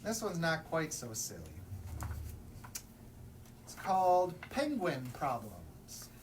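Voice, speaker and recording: A man's voice: a little high, wildly swooping pitch, slow pace. A man presenting a children's story time in a playful, theatrical way. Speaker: library story time host. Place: public library. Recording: distant mic, faint background noise.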